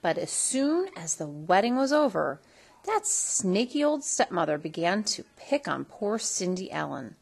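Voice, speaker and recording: A woman reading a children's story aloud in an expressive storytelling style. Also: lowish voice, unhurried, vocal fry, breathy edge. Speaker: storybook reader.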